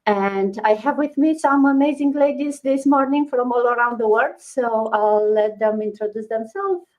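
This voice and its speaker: A woman from Romania hosting a casual live stream, talking haltingly with many fillers and restarts. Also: on the high side, a little mellow, poor audio.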